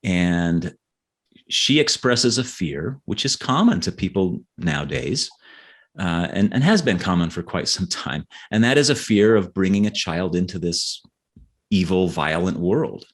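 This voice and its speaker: Guy with a really low voice being interviewed as an author, talks things through, kinda halting and repeating words. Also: expressive. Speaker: author interviewed as a podcast guest. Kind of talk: conversational interview, explaining history and his novels.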